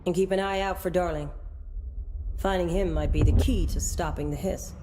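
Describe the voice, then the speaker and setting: A woman playing a stern, commanding head of operations in a video game, brisk and guarded. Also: on the low side, steady tone, over music, close to an everyday voice. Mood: stern, businesslike. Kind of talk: dramatic game voice acting.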